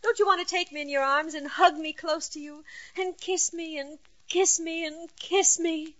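A man-hungry sweetheart coos and presses her case in old radio comedy style. Her voice is high and bright, on a dull vintage recording. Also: fairly even intonation, normal speed. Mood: impatient. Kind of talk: 1940s radio sitcom acting.

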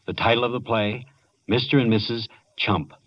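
A man's voice: medium pitch, steady tone. A man hosting a radio drama, giving formal closing announcements. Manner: measured, formal. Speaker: radio host and writer. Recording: lo-fi 1940s radio broadcast.

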